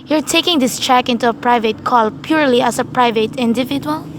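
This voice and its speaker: A woman's voice: a little high, a little fast, a little hiss or hum, close to an everyday voice. A woman playing an officious telephone chief operator in a radio play, formal, procedural and detached.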